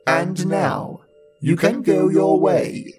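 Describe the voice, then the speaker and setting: A man voicing a wily old grandmother in a storybook tale, creaky, coaxing and drawing out the words. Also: high-pitched, fairly even intonation, takes it slowly, over music, pitched above the performer's own voice. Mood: kindly. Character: devil's old grandmother, wily, soothing, scolding old woman.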